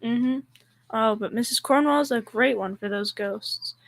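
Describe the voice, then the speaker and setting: A woman's voice: a little high, measured pace, gravelly. A young female performer playing a wry, long-suffering housewife and mother in a school old-time radio comedy. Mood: gossipy, concerned. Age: young teen.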